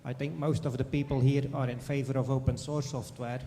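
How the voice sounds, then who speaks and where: A man in the audience asking a question from the floor, measured and hesitant, with fillers. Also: mid-range voice, little pitch movement, mellow. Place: large lecture hall. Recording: long hall reverb, faint noise.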